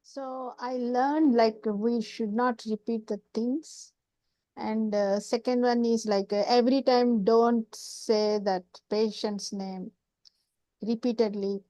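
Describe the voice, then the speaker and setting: A woman student slowly and hesitantly listing what she learned in class. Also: on the high side, steady tone, mellow, dry recording, low-quality recording. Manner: slow, hesitant, listing points.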